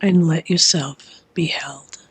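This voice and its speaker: A woman leading a guided meditation in a soft, gentle, sometimes breathy voice, speaking in short phrases with long pauses. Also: deep voice, steady tone, background noise. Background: meditation music.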